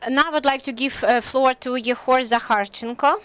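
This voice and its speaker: A woman working as a simultaneous interpreter at a conference, speaking in a steady, clear way. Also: high-pitched, moderate pace, dry recording.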